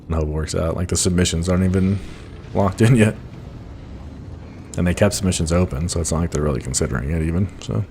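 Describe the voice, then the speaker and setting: Groggy low-voiced man, fast talker. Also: gravelly, a little hiss or hum. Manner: blunt, sounds annoyed even when not. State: groggy, just woke up. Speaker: speedrunner streaming a game. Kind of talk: casual live-stream commentary.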